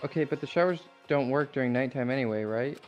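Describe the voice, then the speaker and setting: A man talks casually during a group game session, reading some lines aloud. His pitch is average for a man. Quiet music runs behind him. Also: fast talker, highs cut off.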